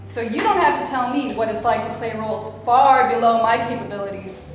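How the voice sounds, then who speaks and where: A woman's voice: on the high side, brisk, natural voice. Woman playing a brassy, sarcastic bit-part actress. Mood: needling. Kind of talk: staged play reading. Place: theater stage before a live audience. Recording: very echoey stage, faint room noise.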